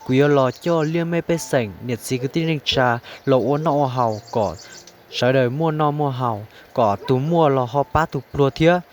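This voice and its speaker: A man's voice: mid-range voice, normal speed, slight background noise, close to an everyday voice. A man voicing a male character in a comic cartoon story. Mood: talkative. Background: music.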